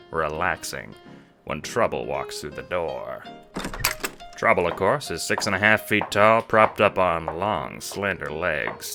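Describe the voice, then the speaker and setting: A man narrating a fan audio drama in a dramatic, measured noir style. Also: low voice, creaky. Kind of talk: second-person noir narration.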